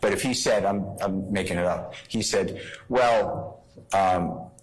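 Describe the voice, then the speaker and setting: A man with a fairly low voice speaks as a defense attorney in court. He lays out a legal argument step by step, in a halting, explanatory manner. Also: faint music behind, rough recording.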